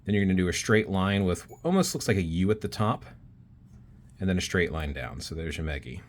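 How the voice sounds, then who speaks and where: A man's voice: low-pitched, fairly quick, slight background noise. A man teaching a self-taught craft in a casual step-by-step tutorial, talking while he draws and thinking aloud. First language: not Icelandic. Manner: relaxed, talks while drawing, thinking aloud with fillers.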